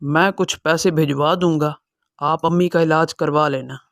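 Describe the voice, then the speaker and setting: A man's voice: a little high, steady tone, normal speed, gravelly, fairly bright tone, no echo. A man playing a selfish, impatient young son who is dismissive, in a dramatized cartoon moral story. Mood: irritated, dismissive.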